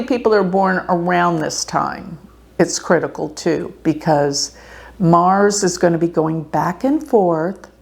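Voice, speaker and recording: A woman astrologer giving an earnest, slow warning talk to her viewers, clearing her throat now and then. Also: fairly low pitch, darkish tone. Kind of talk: casual talk to camera.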